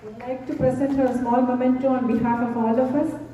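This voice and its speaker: A woman's voice: a little high, little pitch movement, brisk, a crowd in the background. A woman hosting a gathering, giving a formal introduction of the next speaker in an announcing tone. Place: large hall. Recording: echoey hall from far off, faint hiss.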